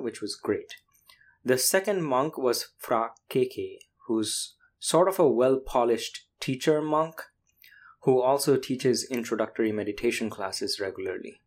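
A man whose first language is Bangla, hosting and narrating with a measured, explanatory delivery. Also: mid-range voice. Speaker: podcast host and narrator. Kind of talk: scripted narration and casual interview.

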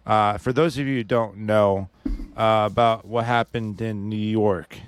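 A man's voice: fairly low pitch, steady tone, measured pace, clean tone, dry recording. A man co-hosting a podcast in casual chat, talking hesitantly with many restarts and hedges. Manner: hesitant, restarts often, hedges his opinions. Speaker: podcast co-host. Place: podcast studio.

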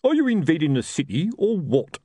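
Brief: a man playing a gruff, bossy old-school sergeant in a storybook reading, loud and raised. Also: on the high side, very animated, brisk, dry recording, pitched above the performer's own voice.

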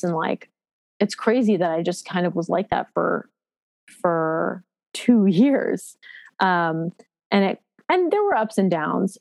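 Woman, 30s, lowish voice, dry close sound; frank self-deprecating personal storyteller, halting with lots of fillers. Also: creaky, a little mellow, no echo. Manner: reflective, halting, with many fillers and restarts.

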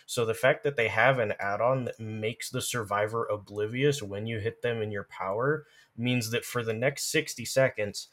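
Low-voiced man, explaining conversationally. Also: moderate pace, few pauses, a bit bright. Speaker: podcast guest. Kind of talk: casual podcast discussion of game lore and strategy.

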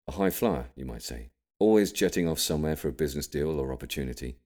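A British man reading an audiobook, delivering scripted comic narration in an expressive storytelling manner. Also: low-pitched. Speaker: audiobook reader.

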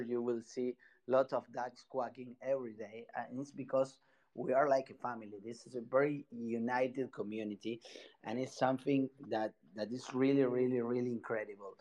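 A man from Argentina speaks English with a clear Argentine Spanish accent, pausing and restarting as he searches for words. He sits in the middle of the male pitch range and talks like a guest on a relaxed online audio chat. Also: low-quality recording. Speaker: NFT project founder, guest.

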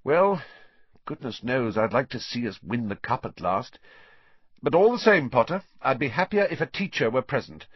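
A man reading a storybook, voicing a stern but concerned female professor in a measured, formal manner. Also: medium pitch, animated, breathy edge, dry recording, dull audio, voice pushed up. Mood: reluctantly conceding.

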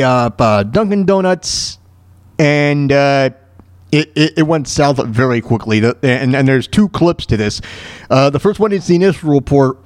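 A man hosting a talk show with casual off-the-cuff news commentary, halting and repeating himself in an exasperated way. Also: fairly high pitch, dry recording, studio quality. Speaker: podcast host commenting on news clips.

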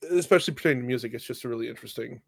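A man's voice: medium pitch, racing, vocal fry, husky. A young man in a casual podcast chat, musing at length and leaning on many fillers. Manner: rambling, reflective, many fillers. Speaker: podcast co-host.

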